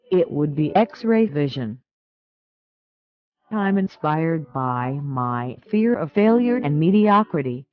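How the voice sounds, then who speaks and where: A woman from Zimbabwe reading out her pageant answers deliberately. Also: sits low, narrow, tinny audio. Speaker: pageant contestant.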